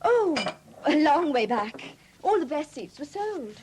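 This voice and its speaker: High, swooping, flighty young woman. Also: sing-song, a little slow, a little mellow. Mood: evasive. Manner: breezy, evasive, indignant when challenged. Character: flighty, defensive young woman under police questioning. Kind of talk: 1970s British radio drama acting. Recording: hissy old 1970s radio broadcast recording.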